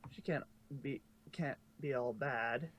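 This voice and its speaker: A man's voice: medium pitch, takes it slowly, a bit bright, dry recording. Man narrating a story, stumbling slightly. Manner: hesitant reading with stumbles and self-corrections. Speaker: fan fiction narrator.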